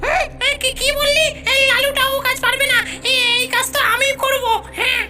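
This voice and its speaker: A performer voicing a childish, excitable cartoon spook with a whiny, stammering delivery. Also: very high-pitched, moderate pace. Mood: eager, squabbling. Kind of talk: cartoon voice acting. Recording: music under the voice.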